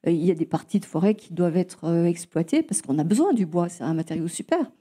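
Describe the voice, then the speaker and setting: A woman's voice: fairly low pitch, animated, fast talker, mellow, husky. A woman from Alsace answering interview questions about her nature charity's land, talking hesitantly with many fillers and restarts, and telling stories as she goes. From Alsace, France. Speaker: guest, nature charity representative.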